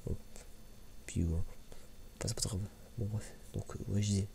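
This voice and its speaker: Soft, low, creaky-voiced hesitant man. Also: steady tone. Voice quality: soft, hushed voice, sometimes near whisper. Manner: hesitant, halting, frequent repeats and filler pauses. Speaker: ASMR video creator and host. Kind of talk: soft-spoken ASMR, casual unscripted review. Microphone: microphone held a little away from mouth. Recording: slightly muffled mic with background noise.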